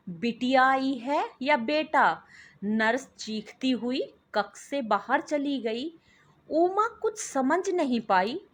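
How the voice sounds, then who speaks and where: A woman with a high voice narrates a scripted story as a storyteller. She takes her time, phrasing each part slowly and deliberately with a dramatic touch. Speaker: storyteller and channel host.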